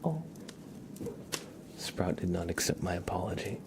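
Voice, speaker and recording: A man with a low, gravelly voice chats softly on an ASMR livestream, often slipping into a whisper. His delivery is playful and mock-serious, and there is clear noise behind him. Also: very animated, unhurried. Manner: playful, mock-serious, doting talk about and to a pet. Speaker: ASMR live streamer. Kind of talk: soft-spoken ASMR livestream chat, often dropping into whispers.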